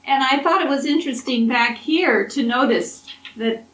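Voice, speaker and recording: A woman interviewing an older woman, soft-spoken and encouraging, often murmuring agreement. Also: high voice, moderate pace. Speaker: oral history interviewer. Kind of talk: interview questions. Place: college library. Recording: echoey library room from a distance.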